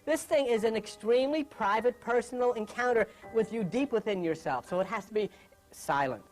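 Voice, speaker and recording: A woman's voice: fairly high pitch, expressive, a little fast, soft background music. A woman giving an informal spiritual lecture in an emphatic, insistent way, repeating phrases for weight. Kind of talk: informal lecture on meditation and religion.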